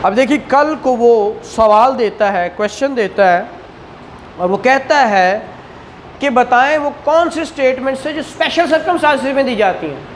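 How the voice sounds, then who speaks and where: A man's voice: very high-pitched, lively intonation, moderate pace, noisy background. A man with a Pakistani accent giving a classroom law lecture, didactic, often prompting listeners with questions and repeating the answers. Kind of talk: classroom exam-prep lecture.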